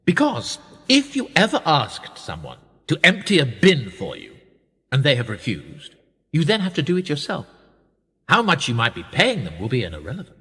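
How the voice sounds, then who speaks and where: An actor in a radio play voicing a worldly, powerful establishment insider, speaking in a pedantic, suavely condescending way. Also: male voice, fairly high pitch, wildly swooping pitch, normal speed, a bit bright, husky, heavily acted. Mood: sly, assured. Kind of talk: radio drama dialogue. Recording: long reverberant hall, music underneath. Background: music.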